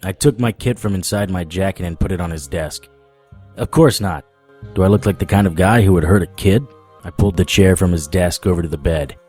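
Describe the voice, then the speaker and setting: An actor playing a wry, world-weary male paranormal investigator, narrating a first-person noir audio drama in a deadpan manner. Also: low voice, fairly quick, faint music behind. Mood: calm, reassuring.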